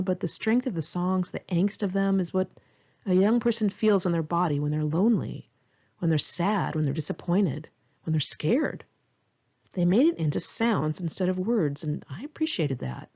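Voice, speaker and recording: Husky low-voiced woman reading a memoir. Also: warm tone, dull audio. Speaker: author reading own memoir. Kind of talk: audiobook narration of a memoir.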